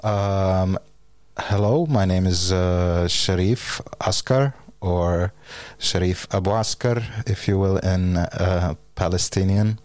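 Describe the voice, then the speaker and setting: A man from Finland talks slowly and haltingly, his voice very low and deep. He fills his pauses with ums and uhs. The sound is dry and close. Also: a little mellow, no room sound. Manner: hesitant, halting, many fillers. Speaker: guest. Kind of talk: casual conversation.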